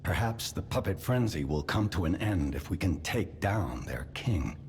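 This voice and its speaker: A man's voice: deep voice, very animated, darkish tone, a little hiss or hum. An actor playing a solemn male patron handing out a dangerous task. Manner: measured, solemn. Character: grave older male patron giving orders.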